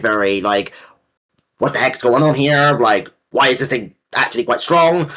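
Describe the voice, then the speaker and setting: A man's voice: medium pitch, fairly bright tone. A man in his early twenties co-hosting a casual chat, informal and opinionated, with plenty of slang. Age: early 20s. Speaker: co-host.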